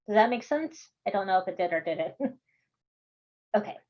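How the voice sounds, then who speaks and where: A woman's voice: medium pitch, expressive, moderate pace. A woman with a Texan accent leading an online workshop in a chatty, friendly way, laughing often. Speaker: course instructor. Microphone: video call. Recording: dull video call audio.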